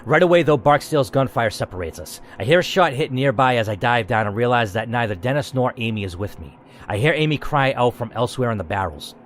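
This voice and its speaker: A man's voice: medium pitch, animated, fast talker, slight background noise. A man narrating a story game dramatically and reacting to its choices with emphatic commentary, as a gaming host.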